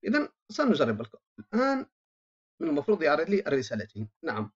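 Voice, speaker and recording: A coding teacher talks through a screen recording, pausing often on long filler sounds. The pitch swings up and down a great deal. The voice comes through a dull, lo-fi microphone. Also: huge pitch swings, husky. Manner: hesitant, frequent drawn-out filler sounds, thinking aloud while typing. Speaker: programming instructor. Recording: dull lo-fi voice-over mic.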